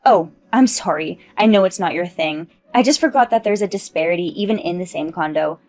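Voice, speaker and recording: A woman's voice: mid-range voice, very animated, a little fast, darkish tone. A woman doing a haughty rich snob mom. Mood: snobbish. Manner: haughty, condescending. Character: snobbish boastful rich mom, condescending.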